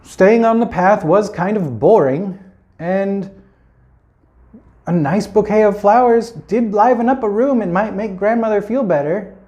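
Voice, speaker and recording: A man's voice: sits high, measured pace, mellow, muffled recording. A man telling a children's fairy tale informally, as a storyteller, with playful, chatty asides.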